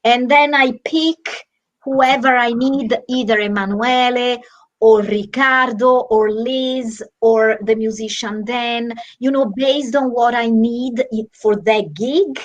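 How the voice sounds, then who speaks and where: An Italian woman with a slightly high voice talks in a lively, animated way. She runs a theatre troupe and chats casually over a video call, restarting her sentences as she goes. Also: slow pace, highs cut off. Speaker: artistic director, co-host.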